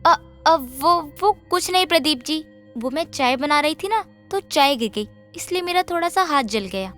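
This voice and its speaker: A woman's voice: high voice, lively intonation, normal speed, fairly bright tone, soft background music. A woman voicing a meek, devoted young daughter-in-law in a moral story, speaking politely and deferentially. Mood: nervous, covering up. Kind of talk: Hindi moral story voice acting.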